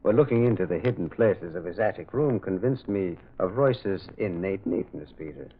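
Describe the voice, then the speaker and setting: An actor playing a gentle, earnest priest detective in an old radio mystery, kindly and moralizing, with a hesitant way of speaking. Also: male voice, deep voice, normal speed, slight background noise. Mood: mild, reflective. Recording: thin, phone-like old radio recording.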